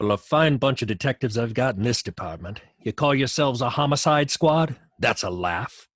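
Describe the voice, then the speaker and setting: Man acting a husky, scolding police commissioner. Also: medium pitch, lively intonation, moderate pace, close to an everyday voice. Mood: scornful, impatient. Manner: scolding, barking. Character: gruff, sarcastic, impatient police commissioner. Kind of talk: old-time radio drama acting.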